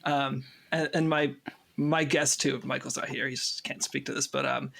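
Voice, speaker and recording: A man chatting casually as an interviewee, haltingly repeating words as he thinks aloud. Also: medium pitch, level tone, creaky, fairly bright tone. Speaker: cast member interviewee. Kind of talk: casual chat.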